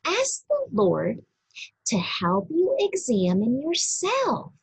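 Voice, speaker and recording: A woman reads a religious picture book aloud as if teaching young children. Her voice is notably breathy and husky, with a lively, swooping melody. She takes her time, very slowly and deliberately, addressing the listener directly. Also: high voice. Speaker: storybook reader for a church.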